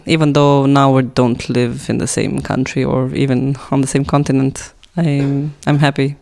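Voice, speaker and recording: A woman's voice: a bass voice, level tone, normal speed, gravelly, darkish tone. A young woman from Romania, with a Romanian accent and Romanian as her first language, answering interview questions in a hesitant way with many fillers and restarts. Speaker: guest.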